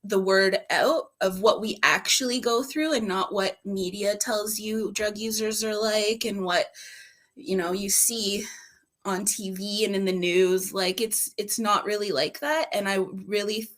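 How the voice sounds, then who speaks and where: Female panelist, mid-pitched, informal and reflective, halting personal testimony. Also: fairly even intonation, fairly bright tone. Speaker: panelist and drug-user advocate.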